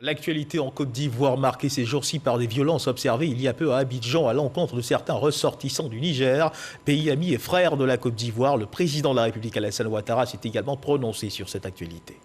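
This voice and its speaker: Brief: male news anchor, husky voice, formal, steady delivery. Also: mid-range voice, fast talker. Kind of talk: news presenting. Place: TV studio.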